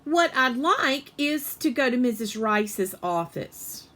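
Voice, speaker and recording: A woman's voice: high voice, animated, slow, bright, forceful tone, voice pushed up, full character voice. Woman playing young schoolgirl, storybook character, outspoken, worried, blunt, pointedly polite. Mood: polite, stubborn. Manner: blunt, extra-polite when dodging.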